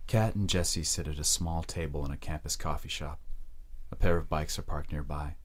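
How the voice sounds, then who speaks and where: A man narrating an audio drama in the present tense, setting scenes in a measured way. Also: a bass voice, steady tone.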